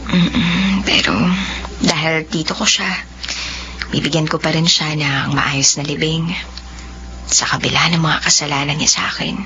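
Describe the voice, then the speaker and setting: A woman playing Nanette, a young woman who is hurt and grieving, going from tearful accusation to soft, whispery tenderness. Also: deep voice, fairly even intonation, unhurried, pauses often, a little hiss or hum, exaggerated voice. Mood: soft, tender. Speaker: lead character. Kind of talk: dubbed melodrama acting. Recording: dull lo-fi sound with faint music.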